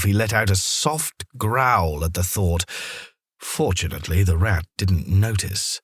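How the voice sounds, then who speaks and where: A man narrating a children's story from a script in a gentle, expressive storytelling manner. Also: fairly low pitch, slightly rough, no echo, studio quality.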